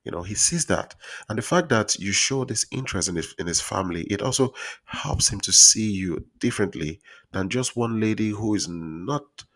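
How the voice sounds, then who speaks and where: A man with a Nigerian accent giving earnest, casual relationship advice straight to his viewers, speaking softly because he is traveling. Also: sits low. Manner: earnest, repetitive, addresses viewers as guys. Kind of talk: casual advice monologue to camera.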